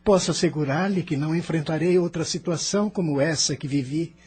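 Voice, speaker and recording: A man's voice: on the high side, a little fast, darkish tone, husky. A Brazilian man acting a bitter, self-justifying spirit in the afterlife in an audio drama, defensive and questioning. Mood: aggrieved, protesting. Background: music.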